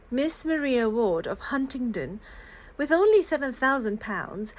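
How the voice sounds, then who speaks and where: Higher-pitched woman reading a classic novel aloud. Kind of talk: scripted literary read-aloud, cozy and calm.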